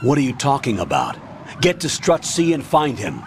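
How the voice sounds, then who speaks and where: A stern older colonel from a video game, commanding and measured as he briefs. His voice sits at a medium pitch, with faint noise behind it. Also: huge pitch swings. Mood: brisk, stern. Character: stern, authoritative older male military commander.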